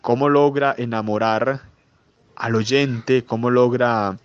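A man's voice: mid-range voice, steady tone, slow pace, smooth, no echo. A man hosting a programme and interviewing a guest in a conversational style. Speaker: radio programme host, interviewer. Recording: dull, lo-fi audio.

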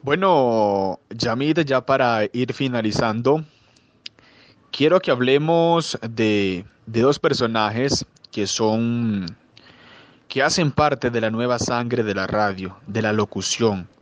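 A man from Colombia hosting an interview, asking measured, formal questions. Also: mid-range voice, no echo. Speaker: interviewer host.

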